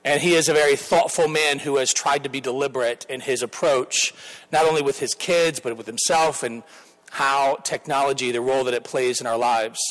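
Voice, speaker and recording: A man's voice: medium pitch, fairly even intonation, moderate pace, fairly bright tone, rough recording. Pastor guy in his 30s, earnest, rambly intro then prays, normal voice. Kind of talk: introduction and prayer.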